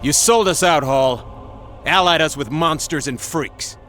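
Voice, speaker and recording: An actor playing a bitter, paranoid rogue military commander in a video game, accusing and seething. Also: male voice, a little high, unhurried, a bit bright, soft background music. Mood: seething anger. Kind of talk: video game cutscene acting.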